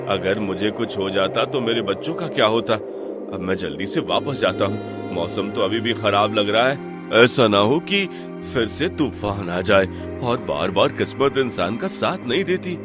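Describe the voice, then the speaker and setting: Cartoon fable role: poor, plaintive fisherman husband male performer, lower register, prominent music bed. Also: expressive, measured pace. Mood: shaken, relieved. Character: poor, weary, God-fearing fisherman husband. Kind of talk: cartoon moral story dialogue. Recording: loud music bed behind voice.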